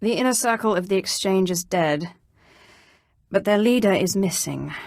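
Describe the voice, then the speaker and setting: An actress playing an imperious, menacing Sith sorceress risen from slavery in a video game, with a haughty, commanding delivery. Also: female voice, medium pitch, expressive, moderate pace, no echo, studio quality, close to an everyday voice. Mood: threatening, disdainful. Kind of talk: scripted game dialogue.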